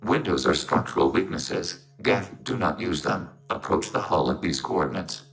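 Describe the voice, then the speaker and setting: A man's voice: a bass voice, normal speed, a bit bright, faint music behind, poor audio. A man playing a synthetic machine intelligence in a video game, speaking in literal, formal, emotionless phrases through a robotic filter. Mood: detached, logical.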